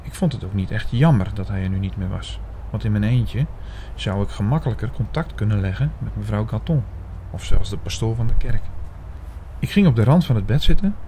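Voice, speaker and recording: Audio drama lead: male actor as suspicious, reflective investigator-narrator, mellow tone, some background noise. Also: on the low side, lively intonation, brisk, close to an everyday voice. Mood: reflective, doubtful.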